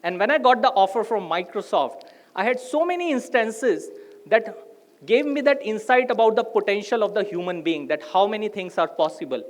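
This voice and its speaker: A man with an Indian English accent delivers a live motivational talk, his voice sitting noticeably high for a man. He is a native Hindi speaker and keeps turning to the crowd with rhetorical questions. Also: lively intonation, normal speed. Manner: addresses the audience directly with rhetorical questions.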